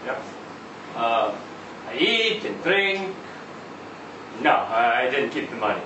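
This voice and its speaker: Man performing a tired Middle Eastern trucker, live story monologue, slow rambling delivery, resigned, recorded at a distance in an echoey room. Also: medium pitch, sing-song, highs cut off, voice pushed down. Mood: weary, resigned. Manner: halting, rambling, foul-mouthed, repeatedly seeking agreement. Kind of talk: live dramatic monologue reading of a short story. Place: live literary event in an indoor venue. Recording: echoey room, distant mic, faint noise.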